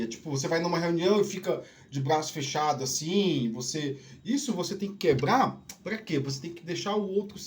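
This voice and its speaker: A man from Northeast Brazil with a softened Northeastern Brazilian accent, talking in a rambling casual solo monologue full of restarts and tag questions. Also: fairly high pitch. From Northeast Brazil (Piauí family). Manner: rambling, many restarts and "né?" tags. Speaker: podcast host.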